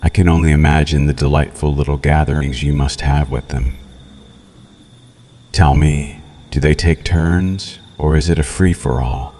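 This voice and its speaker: Man voicing a very deep, cruel vampire captor. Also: fairly even intonation, mellow, slight background noise. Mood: sly, mocking. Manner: slow, menacing, mocking, theatrical. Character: cruel, sadistic male vampire captor, gloating and possessive. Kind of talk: ASMR roleplay, scripted villain monologue.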